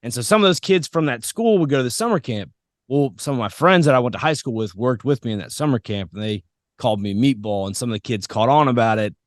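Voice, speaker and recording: A man's voice: mid-range voice, lively intonation, fast, dry recording, studio quality. A man hosting a podcast in a casual, informal, anecdotal chat style. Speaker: podcast host.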